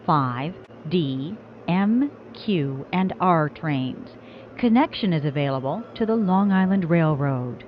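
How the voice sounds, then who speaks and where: A woman makes scripted train announcements at an unhurried, measured pace. Her pitch is on the low side and swings noticeably within each carefully enunciated, formulaic phrase. The audio is somewhat dull and lo-fi. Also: a little hiss or hum.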